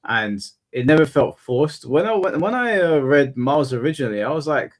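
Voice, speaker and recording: A man hosting a casual panel chat, speaking in a monotone and often restarting his sentences while he thinks. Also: a little high, moderate pace. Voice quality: monotone delivery. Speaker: podcast host.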